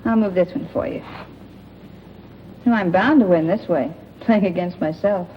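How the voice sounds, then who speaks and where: A woman's voice: lowish voice, normal speed, natural voice. A woman playing a restless, wisecracking small-town flirt in 1940s radio drama style. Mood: flirtatious, pointed. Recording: muffled, noisy 1940s radio recording.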